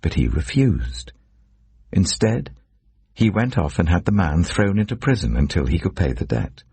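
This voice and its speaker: A man reading scripture as a narrator in a solemn, measured, dramatic storytelling delivery. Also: low voice, very animated, warm tone, slightly rough, dry recording. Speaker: Bible narrator.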